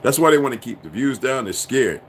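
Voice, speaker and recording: A man's voice: mid-range voice, lively intonation, brisk, slight background noise. A man delivering a profane, preachy, insistent monologue to his viewers. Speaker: commentator.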